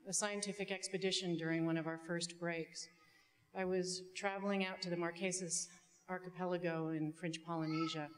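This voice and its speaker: A middle-aged woman giving a prepared commencement speech as a student speaker, measured and deliberate, with some ad-libs. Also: fairly low pitch. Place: indoor auditorium stage. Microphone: podium microphone. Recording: long hall reverb from stage sound system.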